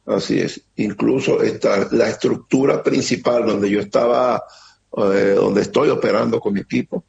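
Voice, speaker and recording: A middle-aged man with a Venezuelan accent giving an earnest, halting account as an interviewee, often repeating words. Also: fairly low pitch, steady tone, gravelly, darkish tone. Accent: Venezuelan Spanish. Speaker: interviewee, security operator. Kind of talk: emotional testimony on a remote interview. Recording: muffled lo-fi line with faint noise.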